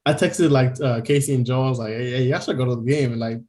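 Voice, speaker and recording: A young man with a mid-pitched voice talks through sports news in a loose, rambling way. He laughs often and leans on casual fillers as he chats with his co-host. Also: fast, dark tone. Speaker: podcast co-host. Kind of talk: casual chat.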